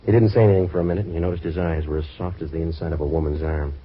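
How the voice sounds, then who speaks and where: An actor playing a sardonic, wisecracking American private eye in a deadpan, clipped 1940s radio detective style, on an old recording. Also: male voice, deep voice, rapid-fire, husky, highs cut off. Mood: wry, jaded. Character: wisecracking hard-boiled male waterfront private eye.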